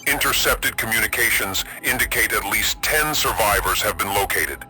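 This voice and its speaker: A man's voice: on the low side, animated, normal speed, loud music behind the voice, pitched above the performer's own voice. A man voicing a dutiful military subordinate, deferential and slightly anxious, reporting through a radio comm filter. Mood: urgent. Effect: crackling radio comm filter.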